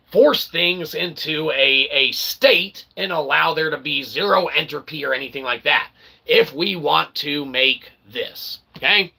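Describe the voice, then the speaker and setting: Sharp, gravelly man rambling philosophically. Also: on the high side, poor audio. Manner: rambling, wordy pseudo-formal phrasing with frequent "okay" and "all right" check-ins. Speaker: independent online philosophy presenter. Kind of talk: improvised philosophical monologue with casual asides.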